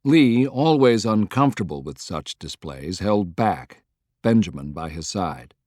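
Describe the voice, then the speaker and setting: A man's voice: sits low, sing-song, unhurried, a little mellow, dry recording, clean studio sound. A man reading a historical novel as scripted, dramatic audiobook narration.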